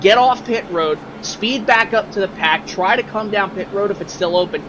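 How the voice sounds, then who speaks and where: High-voiced man explaining race strategy. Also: moderate pace, dull audio. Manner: casual, explanatory, many fillers. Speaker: color commentator. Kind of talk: sim racing broadcast commentary. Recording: noisy track sound behind.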